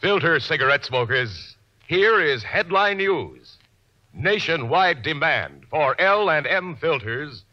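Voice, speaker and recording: An American man reading a punchy 1950s radio advertisement as a commercial announcer. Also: fairly high pitch. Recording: dull vintage 1950s radio recording.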